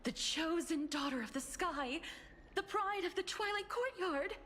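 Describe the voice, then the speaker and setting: A woman's voice: extremely high pitch, expressive, measured pace, a bit bright, a little hiss or hum, exaggerated voice. A woman playing an adoring young townsperson in a fantasy video game, full of reverent admiration. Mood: awed. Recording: long reverb, game music behind.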